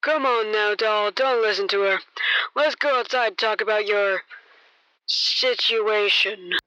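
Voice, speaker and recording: Girl doing a paranoid gumshoe guy for a radio play, conspiratorial, bright forceful tone, dry sound. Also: mid-range voice, a little slow, dry recording, low-quality recording. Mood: smooth, insistent. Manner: conspiratorial, old-time gumshoe talk. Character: shady, intense male detective, washed-up and paranoid. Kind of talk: amateur radio play acting.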